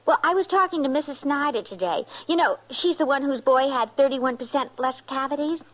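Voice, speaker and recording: A woman's voice: sits high, fairly quick, lightly acted. A woman acting a chatty, exasperated American housewife in an old radio advertisement sketch, insistent and chattering. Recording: muffled vintage radio recording.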